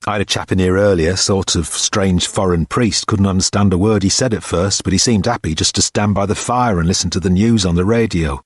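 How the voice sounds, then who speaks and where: A man's voice: low-pitched, fairly quick, few pauses, husky, no echo, clean studio sound. A man voicing a short, wiry college porter in an audiobook, chatty, rambling and sure of himself. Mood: chatty, matter-of-fact. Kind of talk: audiobook character dialogue.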